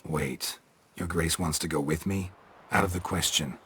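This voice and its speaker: An actor playing a stoic monster hunter in a game, with a gruff husky voice and terse, deadpan delivery. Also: male voice, normal speed, a little hiss or hum. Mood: dry, skeptical. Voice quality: gravelly, husky low voice.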